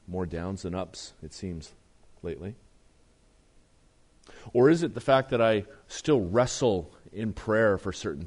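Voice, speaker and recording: A pastor with a very low, strained voice delivers a sermon. He speaks earnestly and builds emphasis as he reads scripture aloud. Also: a little mellow. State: voice strained, deeper than usual.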